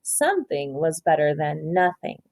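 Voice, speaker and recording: Animated woman teacher reading aloud to kids. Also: low-pitched, measured pace. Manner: prompting, instructive, praising pupils. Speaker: kindergarten teacher. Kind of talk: picture book read-aloud with counting questions to young children.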